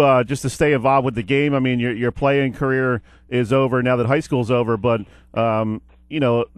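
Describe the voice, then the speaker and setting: A man's voice: mid-range voice, no room sound. Chatty male sports radio host. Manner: chatty, with frequent fillers and restarts. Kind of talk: casual radio interview. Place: radio studio.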